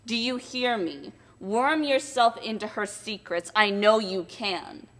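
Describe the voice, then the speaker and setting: Woman putting on a loud, bullying villainous dwarf. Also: medium pitch, moderate pace, long reverb tail, lightly acted. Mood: menacing. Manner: loud, commanding, threatening.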